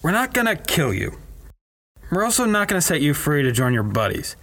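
A man's voice: fairly high pitch, big pitch swings, fairly quick, fairly bright tone, full character voice. A man playing a commanding cult leader in a radio play, speaking with casual, menacing assurance. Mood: ominous certainty. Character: male cult leader prophet, commanding, preparing for apocalyptic battle. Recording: clear background noise, clicks.

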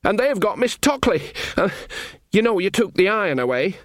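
A very high, anxious young guardsman, acted out by a male narrator in a storybook. He gabbles in colloquial rustic phrases, simple and deferential, badly frightened and close to the mic. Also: very animated, brisk, no echo. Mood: panicky.

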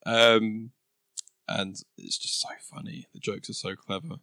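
A man with a lowish voice chats easily as a podcast co-host and often laughs between remarks. The recording is dry, with no room sound. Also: level tone, a little slow, pushed and bright, dry recording. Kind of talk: casual chat.

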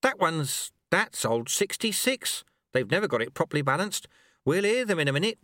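A man voicing a chatty, excitable old monk mentor who fusses and exclaims as he goes. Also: on the high side, moderate pace, no room sound, studio quality, voice pushed up. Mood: alert, fussing. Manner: exclamatory, fussy, rambling.

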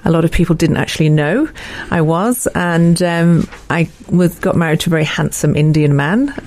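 A woman's voice: deep voice, normal speed, darkish tone. A middle-aged woman with an English accent, giving a conversational personal account as an interview guest. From born in Malaysia, lived in many countries.

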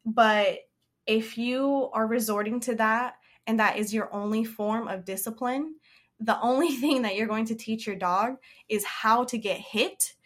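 Co-host, woman, a touch higher voice, sure and explanatory tone. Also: measured pace. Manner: confident, explanatory, laughs while talking. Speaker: podcast co-host and dog trainer. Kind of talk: casual advice chat.

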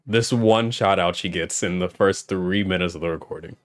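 A man's voice: low-pitched, moderate pace. A man hosting a podcast, chatting casually with a guest and laughing often. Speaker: podcast host.

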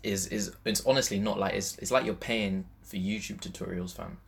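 A man's voice: on the low side, normal speed, gravelly, very noisy. A young man chatting casually as a podcast co-host, thinking aloud in slangy speech and restating his points. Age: young adult. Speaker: podcast co-host, university student. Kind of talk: casual chat.

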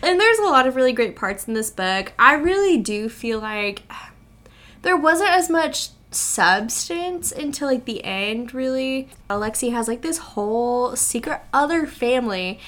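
High-voiced woman rambling casually. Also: a little slow. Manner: rambling, heavy use of "like", expressive retelling. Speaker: podcast co-host. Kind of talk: casual book-discussion chat.

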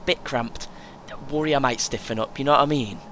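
A man playing a chatty, excitable, over-prepared first-time runner in an audio play, speaking in clipped short phrases. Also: medium pitch, brisk, lightly acted. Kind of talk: naturalistic audio play acting. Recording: clear background noise, vehicle ambience.

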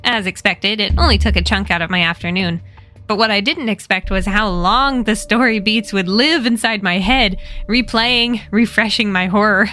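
An American woman narrating a story podcast with a bright, crisp voice. Her delivery is lively and wry, with soft music playing underneath. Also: medium pitch, normal speed. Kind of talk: storytelling, retelling a manga.

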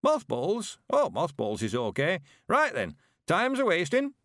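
A man voicing a frail ninety-one-year-old rustic man in an audiobook, speaking slowly in an old country dialect, eager to go courting. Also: high voice, wildly swooping pitch, fairly bright tone, dry recording, clean studio sound, pitched above the performer's own voice, heavily acted. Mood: jovial. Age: 90s. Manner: slow, creaky rustic dialect.

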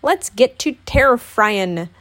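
Woman voicing a bouncy, high-pitched boisterous cartoon tiger. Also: lively intonation, slow pace, a bit bright. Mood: rowdy. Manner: hooting, exclamatory, folksy mangled words.

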